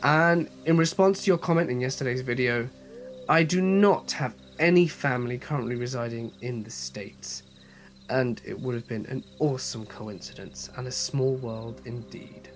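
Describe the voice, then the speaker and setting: A man narrating horror stories as a channel host, reading in a measured, steady way with a voice slightly off from a cold. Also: mid-range voice, slight background noise. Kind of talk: scripted horror story narration.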